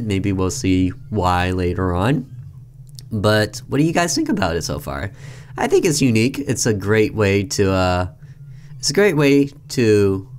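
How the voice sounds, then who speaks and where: A man's voice: on the low side, a little hiss or hum. A man hosting a gaming video, reading a visual novel aloud and commenting casually.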